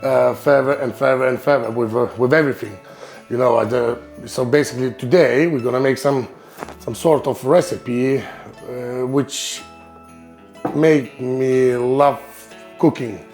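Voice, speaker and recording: A man's voice: mid-range voice, fairly even intonation, a little slow, a little mellow, soft background music. A man from Lithuania with a Lithuanian accent, hosting his cooking channel in English, which is not his first language, searching for words with many ums and restarts. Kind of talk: casual cooking vlog.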